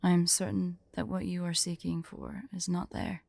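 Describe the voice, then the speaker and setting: A woman playing a haughty, prickly but secretly fond female dragon in an ASMR roleplay, imperious and deliberate. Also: fairly low pitch, level tone, gravelly, darkish tone, no room sound. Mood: impatient. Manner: imperious, indignant, slow and deliberate.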